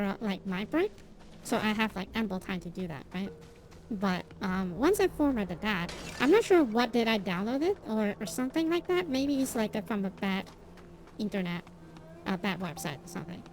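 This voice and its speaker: Non-native english lady streaming, chatty and rambly, tons of ums and restarts, mid pitch, a bit of background hum. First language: not English. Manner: rambling, many fillers and repeated restarts; self-deprecating, laughs at herself. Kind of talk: casual chat with stream viewers while gaming.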